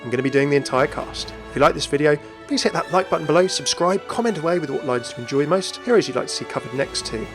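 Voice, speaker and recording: A man's voice: on the high side, expressive, fast talker, hardly any pauses, over music. A man hosting a gaming video, narrating game lore in a chatty, upbeat way. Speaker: video host.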